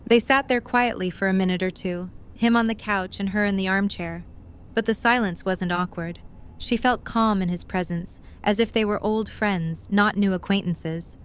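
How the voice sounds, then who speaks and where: Female story narrator, medium pitch. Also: steady tone, moderate pace, smooth. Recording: faint background ambient noise.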